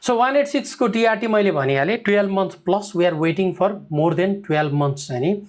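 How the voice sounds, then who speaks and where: Rapid-fire man. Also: sits high, expressive. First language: Nepali. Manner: code-switching, heavy fillers, frequent checks like "okay?". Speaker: migration advisor. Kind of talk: live Q&A answering viewer comments.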